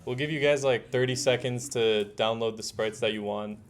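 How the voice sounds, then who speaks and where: A young man presenting a casual software tutorial, talking informally as he demonstrates, with keyboard typing. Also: medium pitch, steady tone, normal speed. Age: young adult. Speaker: workshop presenter. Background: faint room noise, keyboard typing.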